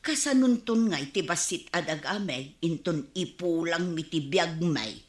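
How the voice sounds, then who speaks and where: A woman's voice: fairly low pitch, expressive, a little slow. A woman playing a nosy, gossiping village neighbour with an Ilocano accent in a radio comedy drama. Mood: chatty. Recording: roomy, echoing studio sound.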